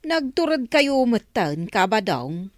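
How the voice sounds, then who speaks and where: An actress with an Ilocano accent playing an excitable neighbor who exclaims a lot in a radio drama. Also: female voice, on the high side, slow, no echo, studio quality. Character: excitable female village neighbor.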